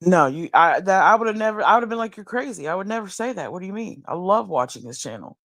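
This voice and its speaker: A woman hosting a late-night livestream, talking bluntly and profanely, musing slowly with many restarts while high. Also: expressive, fairly bright tone, no room sound, studio quality. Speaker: livestream host. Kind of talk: late-night casual commentary. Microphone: streaming mic.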